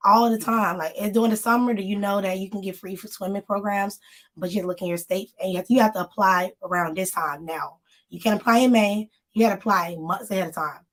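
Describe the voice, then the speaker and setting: A woman co-hosting a casual live-stream chat, talking straight to her viewers, her voice slightly hoarse as it recovers. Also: mid-range voice, steady tone, quick pace. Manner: chatty, addresses viewers directly. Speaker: magazine co-host.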